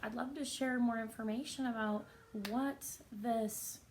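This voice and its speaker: A woman with a soft, raspy voice, talking earnestly and reflectively in a personal vlog. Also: on the high side, fairly even intonation, a little hiss or hum. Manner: earnest, reflective, unhurried with pauses. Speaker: vlogger.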